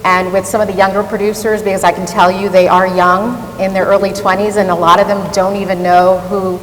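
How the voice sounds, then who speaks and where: A woman who is a senior news anchor, telling stories from her life as a panelist and acting out remembered conversations. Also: a little fast. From New York. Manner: animated, acts out remembered exchanges, laughs easily. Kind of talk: panel discussion, personal storytelling. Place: large event hall. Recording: echoey hall, faint rumble.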